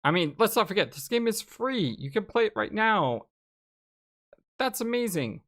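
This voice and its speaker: A woman's voice: medium pitch, moderate pace. A woman giving casual, chatty gaming commentary as she plays and reads a game aloud. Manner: animated, chatty. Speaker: let's play host reading the game aloud.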